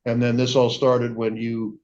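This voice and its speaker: A man speaking as a judge, questioning people in an informal, halting, conversational way during a hearing. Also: mid-range voice, completely flat delivery, darkish tone. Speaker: judge presiding over a hearing. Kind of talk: courtroom questioning. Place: courtroom. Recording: muffled, lo-fi courtroom audio.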